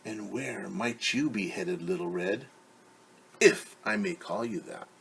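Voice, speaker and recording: A man doing a slow, oily, sly wolf. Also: on the low side, gravelly, fairly bright tone, slightly rough, voice pushed down, heavily acted, rough recording. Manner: slow, oily and menacingly polite. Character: sly, smooth-talking hungry male wolf.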